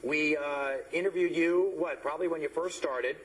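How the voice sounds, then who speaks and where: Male morning host, slightly high voice, jokey and bantering. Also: steady tone, moderate pace, fairly bright tone, low-quality recording. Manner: playful, bantering. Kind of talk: live broadcast interview.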